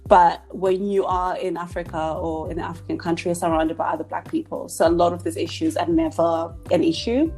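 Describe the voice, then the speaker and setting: A woman's voice: on the low side, steady tone, fairly quick, hardly any pauses, darkish tone, soft background music. A young woman from Zimbabwe co-hosting a podcast, talking reflectively and thinking aloud in casual chat. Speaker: podcast co-host.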